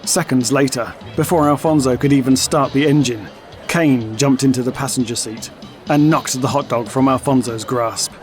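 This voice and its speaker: A man's voice: medium pitch, steady tone, moderate pace. Man narrating a drama over music. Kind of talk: scripted audio drama narration.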